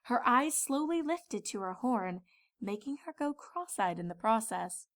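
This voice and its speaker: A woman's voice: a little high, expressive, a little slow. A woman reading scripted storybook narration as the narrator. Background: background music.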